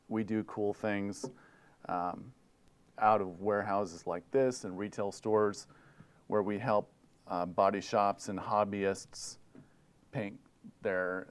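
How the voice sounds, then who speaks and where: A man's voice: lowish voice, clean tone. A middle-aged man from Hawaii giving a presentation at a conference, speaking with many fillers and repeated words into a lapel mic. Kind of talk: presentation talk. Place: conference room, indoors.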